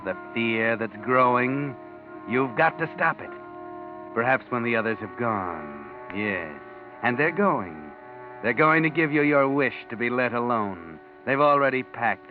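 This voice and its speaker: Actor as an ominous, taunting radio narrator. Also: male voice, medium pitch, natural voice. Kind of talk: 1940s radio mystery narration, addressing the character. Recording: tinny 1940s radio recording. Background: prominent music.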